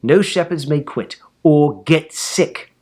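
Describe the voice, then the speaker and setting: A man voicing a brisk, exasperated mother in a storybook, speaking firmly and insistently. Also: fairly high pitch, animated, a little mellow. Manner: firm, insistent. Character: exasperated, brisk, firm mother running a pageant.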